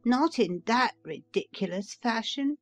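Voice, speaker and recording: Sulky drawly storybook dormouse, highish, slow and sing-songy. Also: female voice, lively intonation, soft background music. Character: sulky sleepy small dormouse.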